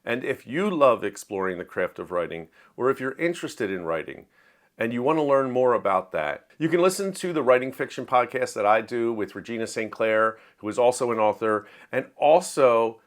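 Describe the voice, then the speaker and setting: A man's voice: mid-range voice, fairly bright tone. A man talking casually about books he read this month, in an enthusiastic, explanatory, conversational way. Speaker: book reviewer and writer. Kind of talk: casual monthly reading wrap-up.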